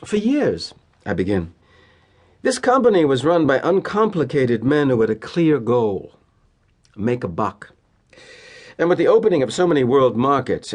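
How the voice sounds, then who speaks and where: A polished, cynical middle-aged American corporate man, confides in a wry audio-play monologue. His medium-pitched voice swings widely in intonation. He places each phrase deliberately and leans on emphatic pauses. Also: a little mellow. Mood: smugly controlled. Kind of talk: theatrical first-person dramatic monologue.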